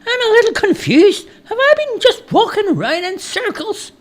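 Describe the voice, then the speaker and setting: A man's voice: extremely high pitch, very animated, moderate pace, pitched above the performer's own voice. A man voicing a lost, exasperated traveller in a storybook tale, exclaiming and pleading. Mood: bewildered. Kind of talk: storybook character voice.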